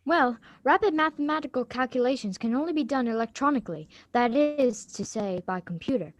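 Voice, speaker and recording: A woman's voice: sits high, expressive, a little fast, dry recording. A woman playing an eager, polite schoolgirl granddaughter in a script reading of a radio drama, earnest and sometimes stumbling over lines. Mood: reciting.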